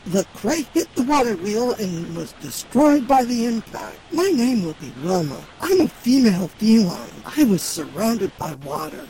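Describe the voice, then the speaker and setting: A performer voicing a wry, self-assured female magic cat who narrates a fantasy audio drama in a droll, measured way. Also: medium pitch, big pitch swings, mellow, a little hiss or hum, poor audio. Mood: wry, dramatic.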